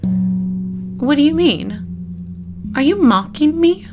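An actress playing a prim, meddling secretary, solicitous and easily flustered, in a period audio drama. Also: female voice, high voice, lively intonation, slow, over music. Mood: indignant. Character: fussy, meddling, prim female secretary and minder.

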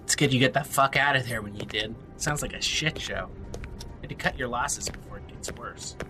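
An actor playing a flippant, cynical, foul-mouthed companion in an audio drama, with a sardonic manner. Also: male voice, medium pitch, a little fast, over music, lightly acted. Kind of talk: naturalistic audio drama acting.

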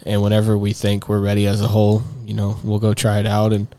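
A man's voice: fairly low pitch, monotone, quick pace, mellow. A young man, chatting casually as a podcast guest, rambling with lots of fillers and restarts. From Texas. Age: young adult. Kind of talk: casual chat.

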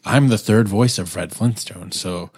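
A man's voice: fairly low pitch, a little slow, a little mellow, slightly rough. A middle-aged man co-hosting a podcast, talking enthusiastically in casual chat and laughing easily. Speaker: podcast co-host.

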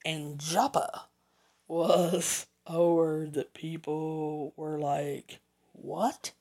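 Slow southern podcast host rambling thru updates, lots of little tangents. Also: medium pitch, little pitch movement, a little mellow. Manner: rambling, chatty asides, self-corrections. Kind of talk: casual solo podcast rundown.

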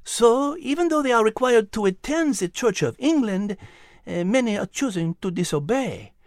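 A woman's voice: fairly high pitch, lively intonation, moderate pace, darkish tone, dry recording, studio quality, lightly acted. A woman voicing a thoughtful, elegant female animal with a French accent, explaining history in an audiobook story.

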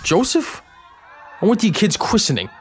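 An actor playing a brash, sneering 1930s mob boss in a radio drama, with a slangy, taunting New delivery. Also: male voice, sits high, huge pitch swings, normal speed, music in the background. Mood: defiant. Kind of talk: period radio drama acting.

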